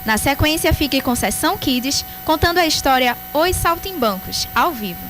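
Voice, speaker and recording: A woman reading a scripted station announcement with a smooth, announcer-like delivery. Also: high voice, lively intonation, moderate pace, fairly bright tone, faint music behind, low-quality recording.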